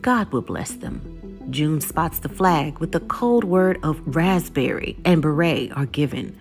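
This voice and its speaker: A woman's voice: sits low, expressive, unhurried, faint music behind. A woman narrating a scripted episode recap as the host of a video channel.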